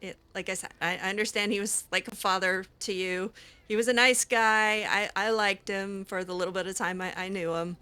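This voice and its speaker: Gaming roleplay host, woman, bright tone, hesitant and rambling, a bit snarky. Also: medium pitch, fairly even intonation, a little fast, clear, resonant tone. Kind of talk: roleplaying a character in a video game.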